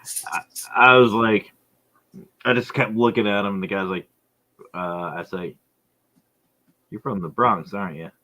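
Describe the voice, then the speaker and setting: A man's voice: on the low side, fairly even intonation, unhurried, pauses often, sharp tone, low-quality recording. A man hosting a podcast in casual chat, telling stories with plenty of laughs and swearing. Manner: profane, laughing storyteller. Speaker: podcast host.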